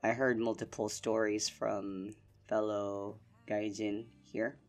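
A young man from the Philippines hosting a casual solo podcast, relaxed and rambling with lots of fillers. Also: lowish voice, takes it slowly, soft background music. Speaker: podcast host.

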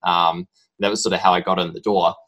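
Deep-voiced New Zealand man, halting. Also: flat delivery, a bit bright, low-quality recording. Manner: halting, rambling storytelling full of ums. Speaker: podcast guest. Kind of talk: casual interview talk.

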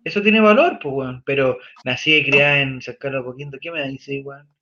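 A man's voice: medium pitch, brisk, bright, forceful tone, dull audio. A man with a Chilean accent hosting a casual chat, rambling and joking in slang while he reads viewer comments. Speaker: podcast host.

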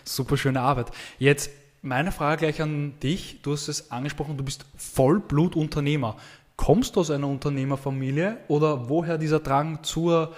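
Male podcast host, slightly high voice, enthusiastic, keeps affirming. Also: steady tone, moderate pace, a little mellow. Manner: upbeat, enthusiastic, many affirmations. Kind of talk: podcast interview hosting.

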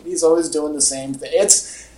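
A man's voice: a little high, steady tone, moderate pace, dark tone. A man co-hosting a casual film chat podcast, talking in an exasperated, hyperbolic way. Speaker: podcast co-host. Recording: echoey podcast audio.

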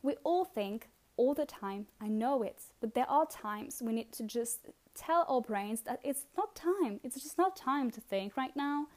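A young woman with a French accent, talking through listening tips on her own podcast in a relaxed, chatty way with many fillers and repeated words. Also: fairly high pitch, measured pace. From France. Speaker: podcast host. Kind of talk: casual solo podcast advice.

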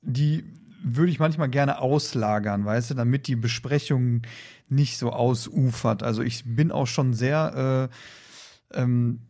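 A man's voice: mid-range voice, a little slow, darkish tone. A man co-hosting a podcast, talking casually and hesitantly as he searches for words. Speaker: podcast co-host.

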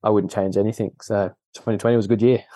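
A man with an Australian accent, chatting casually as a podcast guest, relaxed and often laughing. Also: on the low side, fast talker, dry recording, studio quality. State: injured foot, on painkillers. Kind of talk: casual interview chat.

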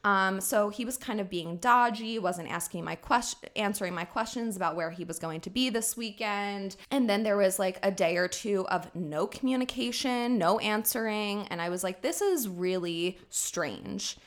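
A woman co-hosting a casual podcast, telling a story in an animated, chatty way with casual swearing. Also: medium pitch, normal speed, few pauses. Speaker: podcast co-host.

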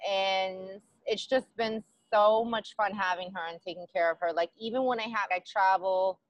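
Chatty woman from Queens, relaxed and casual. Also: medium pitch, normal speed, highs cut off. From Queens, New York. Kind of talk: casual interview.

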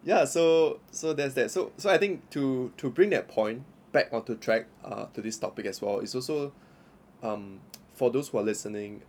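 A man's voice: medium pitch, moderate pace, darkish tone. A young adult man with a Singaporean accent, co-hosting a podcast in casual chat, rambling with many fillers and joking around. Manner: rambling, filler-heavy, playful. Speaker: podcast co-host.

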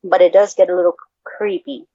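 A Mexican American woman from the Texas border co-hosting a casual podcast, excitable, laughing easily and swearing freely. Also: lowish voice, brisk, creaky, no room sound, lo-fi sound. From Texas border region, USA. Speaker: podcast co-host. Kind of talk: casual chat.

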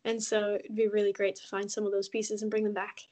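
A woman from Mexico, a podcast guest, talking in a relaxed, chatty way with frequent ums. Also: medium pitch, a little fast. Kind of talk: casual interview conversation.

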